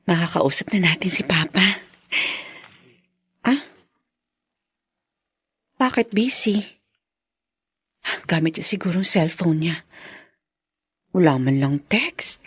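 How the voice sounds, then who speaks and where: A woman's voice: on the low side, expressive, takes it slowly, long pauses, fairly bright tone, breathy edge, no echo, exaggerated voice. An actress playing an anxious, doting young mother in a radio drama, with a tender, fretful manner. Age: young adult.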